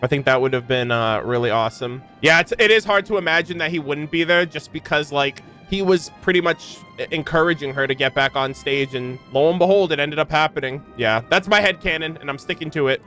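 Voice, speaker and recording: Higher-voiced man giving casual gaming reactions. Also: brisk, hardly any pauses, pushed and bright, soft background music. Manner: informal, slangy, jokey asides. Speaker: gaming reaction video host. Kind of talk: casual reaction commentary on game voice lines.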